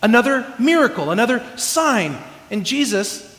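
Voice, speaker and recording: A man's voice: extremely high pitch, big pitch swings, moderate pace, a bit bright. A man from California giving a chatty, self-deprecating sermon with humor, often restarting his phrases, in a church sanctuary. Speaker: preacher. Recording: echoey hall reverb.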